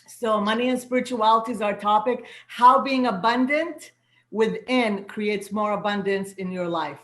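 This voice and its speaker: A woman whose first language is Arabic, hosting an online spiritual gathering as a soul guide and coach, with a gentle, affirming manner and words repeated for emphasis. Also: mid-range voice, normal speed, roomy sound. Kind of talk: inspirational welcome to an online gathering. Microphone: video call.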